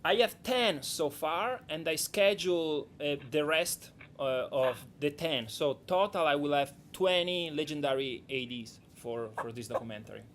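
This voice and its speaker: A man from Italy with a strong Italian accent, a documentary director speaking as a guest on a radio talk show, halting and repeating words as he goes. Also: on the high side, a bit bright, a little hiss or hum. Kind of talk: radio interview over the phone. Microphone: phone line.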